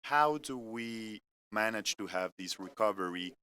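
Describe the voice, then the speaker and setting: A man's voice: fairly low pitch, animated, pushed and bright, clear, resonant tone. Earnest French-accented man presenting. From France. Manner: earnest, measured presenter who walks through an agenda point by point. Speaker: corporate keynote speaker. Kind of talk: live-streamed business presentation. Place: company innovation center studio.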